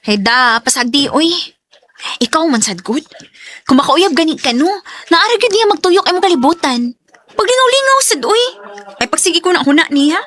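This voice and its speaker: An actress playing a lively, teasing young friend in a radio drama, with a Cebuano Filipino accent. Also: female voice, sits high, normal speed, pauses often. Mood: chiding, encouraging.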